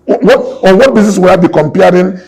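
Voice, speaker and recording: A man's voice: high-pitched, a little fast, vocal fry, a little mellow, breathy edge, poor audio. A man in his sixties, a businessman guest in an interview, talking emphatically and repeating his points like a preacher. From Nigeria. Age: 60s. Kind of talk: impassioned interview talk.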